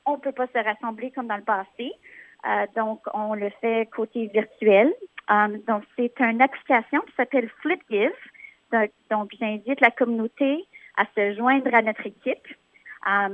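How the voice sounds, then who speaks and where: A woman's voice: a little high, no echo. A woman in her thirties from Northern Ontario with a Franco-Ontarian French accent, chatting casually as an interview guest and explaining things conversationally. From Northern Ontario, Canada. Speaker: interview guest, community organizer. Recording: muffled, thin phone line.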